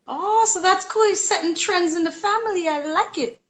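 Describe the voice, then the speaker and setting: A woman's voice: extremely high pitch, moderate pace. A woman in her thirties hosting an interview in a chatty, encouraging way. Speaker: interview host. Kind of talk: casual interview chat.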